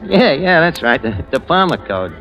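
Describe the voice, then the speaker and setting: A wisecracking New York crook in an old radio drama. His voice is fairly high and swings around a lot, full of slang and bad grammar, with music behind it. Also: big pitch swings, moderate pace, bright, forceful tone, poor audio. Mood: breezy. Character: shifty, wisecracking American crook posing as a gentleman. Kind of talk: 1940s radio acting, first-person narration.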